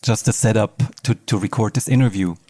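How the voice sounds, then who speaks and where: Lowish-voiced male podcast interviewer. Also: a little fast, dark tone, no room sound. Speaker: podcast host, filmmaker. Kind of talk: conversational interviewing.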